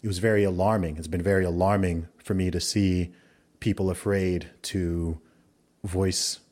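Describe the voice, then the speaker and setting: Deep-voiced man hosting a podcast. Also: fairly even intonation, a little mellow. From Saudi Arabia. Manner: measured, reflective, builds long arguments. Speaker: podcast host. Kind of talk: conversational interview.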